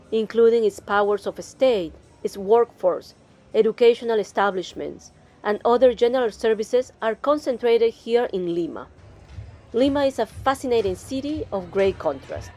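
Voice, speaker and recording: Higher-pitched, mellow woman narrating. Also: normal speed, soft background music. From Peru. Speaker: travel vlog host and narrator. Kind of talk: scripted travel narration.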